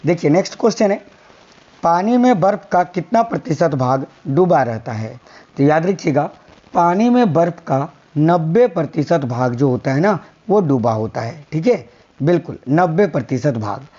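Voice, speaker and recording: A hoarse man teaching a quiz-style science lesson for exam prep, repeating each answer and telling viewers to remember it. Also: on the high side, sing-song, normal speed, dark tone, lo-fi sound. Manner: repeats answers, coaxing "याद रखिएगा".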